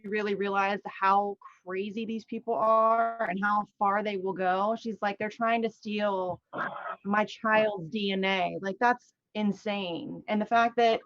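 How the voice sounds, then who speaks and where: A woman's voice: mid-range voice, steady tone, measured pace, fairly bright tone. A woman chatting casually as a panelist on a livestream. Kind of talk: casual chat. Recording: dull lo-fi stream audio.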